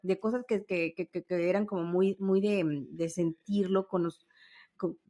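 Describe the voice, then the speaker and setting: Expressive woman in a casual nostalgic chat. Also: on the low side, unhurried. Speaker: podcast co-host.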